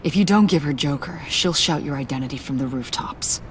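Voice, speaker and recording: A woman playing a troubled, guilt-ridden character in a video game, speaking in a halting, earnest way. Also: deep voice, slight background noise, lightly acted. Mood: worried, remorseful. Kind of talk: video game voice acting, naturalistic.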